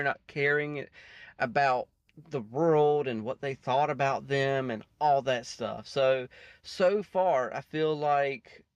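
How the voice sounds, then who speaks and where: A man with a slightly high voice gives casual reaction commentary. He rambles at an unhurried, halting pace, in a dry close recording. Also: dry recording. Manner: rambling, halting thinking aloud. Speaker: reaction video host.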